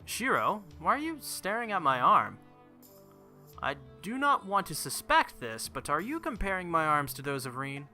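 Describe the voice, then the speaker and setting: A man plays a young female knight from a visual novel, earnest and formal to the point of stiffness. The voice is bright and forceful, pitched up, with faint music underneath. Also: wildly swooping pitch, moderate pace, heavily acted. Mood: suspicious, sulky. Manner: formal, stiff, uncontracted speech.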